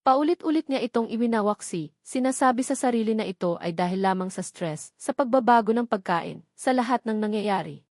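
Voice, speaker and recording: A woman's voice: on the high side, animated, a little fast, a little mellow, no echo, clean studio sound. A woman reading a scripted, dramatic audiobook story as its narrator.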